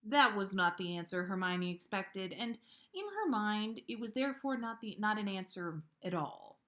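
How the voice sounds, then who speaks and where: A woman reading a fan fiction story aloud on a livestream, in a measured way with pauses. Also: mid-range voice, very animated, a bit bright. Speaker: podcast host reading listener fan fiction.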